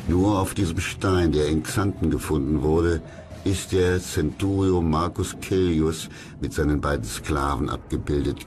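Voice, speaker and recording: A man narrating a documentary from a script, dramatic, solemn and measured. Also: very deep voice.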